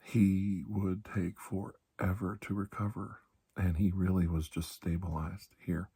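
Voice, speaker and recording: A man playing a calm, reassuring doctor in an ASMR roleplay, speaking softly and breathily, often close to a whisper, with a measured, halting delivery. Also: low voice, exaggerated voice. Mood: solemn, hushed. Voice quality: soft, breathy, husky, often near whisper. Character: calm, reassuring male doctor treating a patient. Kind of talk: ASMR roleplay, hushed storytelling.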